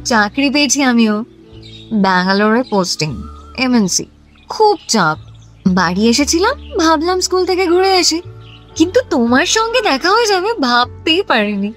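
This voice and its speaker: A woman's voice: high voice, big pitch swings, moderate pace, fairly bright tone, soft background music. A woman playing a playful, coy young woman in a romantic audio drama. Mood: chatty. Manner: teasing, coy. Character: playful, teasing young former schoolgirl, now working woman.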